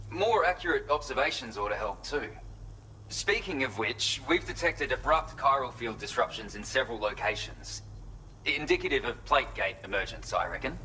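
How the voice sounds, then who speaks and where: An earnest Australian facility worker in a game, briefs in a matter-of-fact way. His voice is mid-pitched and bright, with faint noise behind. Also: low-quality recording. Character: grateful, businesslike male facility worker. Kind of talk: video game cutscene acting.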